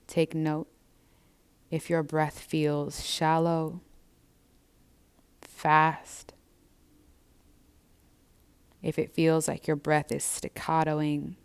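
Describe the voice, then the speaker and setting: Low-voiced woman guiding gentle meditation. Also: monotone, smooth. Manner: gentle, measured, soothing guidance. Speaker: yoga and meditation instructor. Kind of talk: guided breathwork and body scan instruction.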